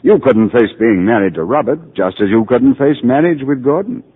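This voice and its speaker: An actor playing a calm, patient middle-aged psychiatrist who narrates and gently explains things in a 1940s radio drama. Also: male voice, mid-range voice, normal speed, slightly rough. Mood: reassuring, gentle. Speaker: narrator and doctor. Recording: tinny old radio broadcast.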